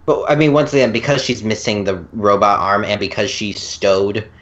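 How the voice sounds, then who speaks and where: A woman's voice: a bass voice, moderate pace. Woman on a fan podcast, kinda hesitant and rambly, dull lo-fi call audio. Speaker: podcast co-host or guest. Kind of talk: casual fan discussion.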